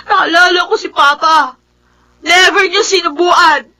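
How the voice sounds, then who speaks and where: Shrill, very high-voiced resentful daughter. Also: female voice, steady tone, unhurried, sharp tone, exaggerated voice. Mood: furious, tearful. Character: resentful young daughter, angry at her widowed mother. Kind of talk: Filipino radio drama acting.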